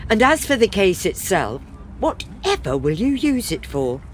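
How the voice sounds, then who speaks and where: Sensible period wife played by a woman, unhurried, lively. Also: medium pitch, slight background noise. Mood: dryly practical. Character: sensible, sturdy middle-aged wife, dryly exasperated. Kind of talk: comic period farce radio acting.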